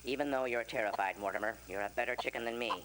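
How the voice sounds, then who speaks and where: A man voicing an excitable cartoon rooster news anchor in a dramatic mock broadcast announcer's manner. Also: medium pitch, rapid-fire, close to an everyday voice. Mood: excited reporting. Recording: noisy game audio from a stream.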